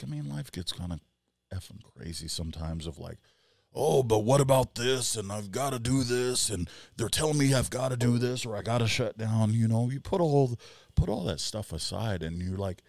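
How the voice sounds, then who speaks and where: A man in his forties with a very low, gravelly voice, chatting as a podcast co-host. He rambles through animated stories and acts out his own reactions. The sound is dry and close on a boom mic in a small studio room. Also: no echo. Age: 40s. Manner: rambling, animated storytelling with quoted asides. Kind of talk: casual chat. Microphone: headset and boom mic.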